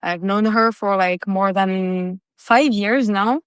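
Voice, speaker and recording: A woman hosting a casual conversational interview, speaking in a halting way. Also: medium pitch, smooth, no echo. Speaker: podcast host.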